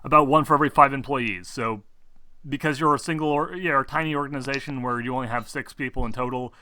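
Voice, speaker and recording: Bright-voiced man explaining tabletop game rules. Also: mid-range voice, fast talker, no room sound. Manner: hesitant, rambling delivery with casual swearing. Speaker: game master.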